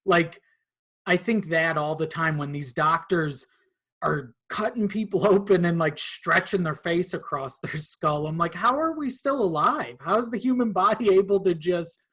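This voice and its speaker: A man chatting casually as a podcast guest, in a halting, amused manner. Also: high voice, a bit bright, slightly rough. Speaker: podcast guest, video editor. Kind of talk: casual chat.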